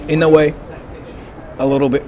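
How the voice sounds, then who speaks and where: A man's voice: fairly high pitch, little pitch movement, moderate pace, mellow. A young man chatting casually on a live stream as he walks outdoors, rambling and reading out viewer comments, with street sounds behind him. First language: bilingual English and Japanese. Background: faint street and traffic noise.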